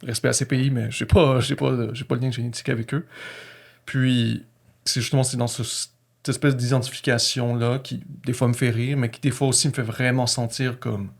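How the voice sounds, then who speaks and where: A man's voice: medium pitch, flat delivery, moderate pace, vocal fry. A man with a Québécois accent reflecting personally in conversation, feeling his way through sentences and often correcting himself. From Quebec, Canada.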